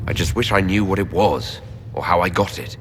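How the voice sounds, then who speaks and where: An actor playing a wry, skeptical, reluctant hero in a fantasy game, dry and questioning. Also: male voice, deep voice, a little fast, fairly bright tone. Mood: uncertain.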